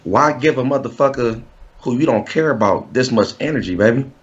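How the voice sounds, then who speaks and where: A man's voice: medium pitch, expressive, brisk. A man on a casual talk show, interrupting and playing devil's advocate, repeating himself as he pushes his point. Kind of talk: casual argument. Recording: dull lo-fi audio.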